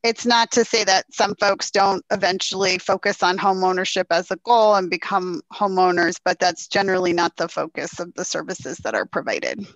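A woman's voice: lowish voice, normal speed, hardly any pauses, no echo, muffled recording. A woman in her forties, a city official, formally explaining and answering questions over a headset on a video call. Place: indoor room at home or office.

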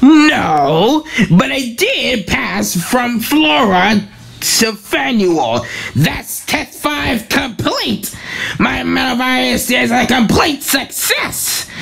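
A woman voicing a pompous, gloating male mad scientist with a creaky voice in an amateur comic dub. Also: fairly high pitch, a bit bright, exaggerated voice. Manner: pompous, commanding, taunting.

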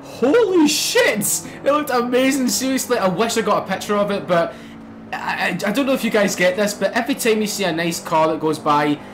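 Excitable young man, let's play. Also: high-pitched, a little fast, over music. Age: young adult. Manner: excitable, swears, bursts into loud exclamations. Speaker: gaming channel host.

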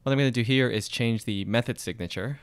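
A man explaining code step by step in a screencast tutorial, steady and methodical. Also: medium pitch, fast talker, smooth, dry recording, studio quality. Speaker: programming tutorial instructor. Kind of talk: screencast coding walkthrough.

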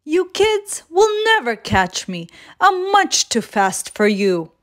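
A woman's voice: high voice, big pitch swings, slow, fairly bright tone, pitched above the performer's own voice, full character voice. A woman voicing a cheeky, boastful little gingerbread man in a children's storybook, playful and taunting in sing-song rhyme. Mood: teasing. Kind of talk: children's storybook read-aloud.

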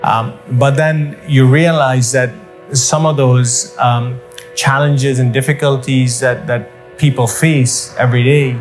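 A middle-aged man from Sri Lanka, an official, speaking in a measured way to camera with frequent ums and uhs. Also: medium pitch, fairly even intonation, faint music behind. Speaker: development program official. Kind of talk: to-camera interview.

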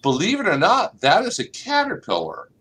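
A middle-aged man hosting a live online plant clinic from an office over a video call, explaining calmly and saying y'all. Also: high-pitched, moderate pace, husky. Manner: relaxed, explanatory, uses y'all. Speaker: extension agent and plant clinic host. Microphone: webcam on a video call.

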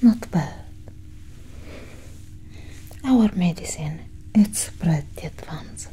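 A woman playing a curious, coolly possessive female alien doctor in a soft, whispered ASMR roleplay, breathy and close to the microphone. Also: lowish voice, animated. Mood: calm, clinical. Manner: slow, deliberate, hushed.

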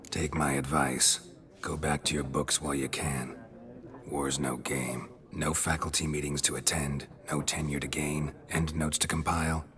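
Stoic monster hunter, extremely deep, gravelly, deadpan, terse, fantasy video game. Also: male voice, moderate pace. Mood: dry, sardonic. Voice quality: gravelly, low. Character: gruff, stoic middle-aged male monster hunter. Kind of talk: video game cutscene acting.

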